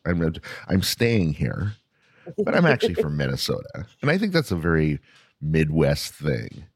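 A male podcast host with a very deep, husky voice who moves from a scripted opening into chatty, animated conversation full of quick asides. The sound is dry and close, with faint music underneath. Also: no room sound. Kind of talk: scripted intro, then casual interview chat.

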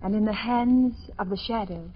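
A woman's voice: medium pitch, moderate pace, faint music behind, lightly acted. An American woman playing a crime-fighter's anxious, loyal companion in an old radio drama, earnest in delivery. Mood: pleading, worried. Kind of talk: 1940s radio drama acting. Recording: muffled, noisy old radio recording.

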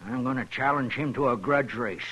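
Husky, folksy old rancher. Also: male voice, mid-range voice, fairly bright tone, rough recording. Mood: determined. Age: older. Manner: folksy, halting, earnest. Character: stubborn, proud old horse-breeding rancher. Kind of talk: old-time radio drama acting. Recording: vintage radio drama recording.

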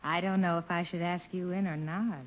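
An actress playing a coy, flirtatious American sweetheart in a 1950s radio situation comedy, with theatrical cooing. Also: female voice, lowish voice, steady tone, fairly quick, close to an everyday voice. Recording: thin, phone-like 1950 radio broadcast.

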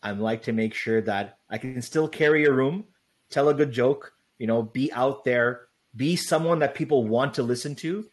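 Young adult man, casual interview guest, loud voice, rambling delivery with constant fillers. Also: medium pitch, normal speed. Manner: loose, rambling, heavy on "like" and "right?".

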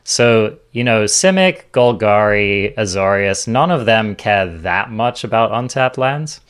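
A man's voice: lowish voice, lively intonation, measured pace, a bit bright, smooth. A man hosting a card game discussion, giving casual, conversational commentary and explaining his takes.

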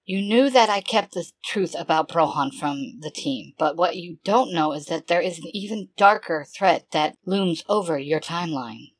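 A man voicing a remorseful female mentor figure who speaks softly and pleadingly, as if near tears. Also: a little high, moderate pace, few pauses. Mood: grave. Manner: soft and pleading. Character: remorseful female mentor being, tearful and defensive.

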